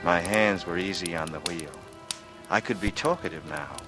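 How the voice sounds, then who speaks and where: A man's voice: low voice, moderate pace, close to an everyday voice. An actor playing a secretly guilty man who confides tensely and feigns innocence, in 1940s radio noir style. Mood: secretly smug. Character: secretly guilty strangler narrating, nervy, falsely casual man from the East. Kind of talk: 1940s radio noir acting, first-person narration. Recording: old radio recording with loud music.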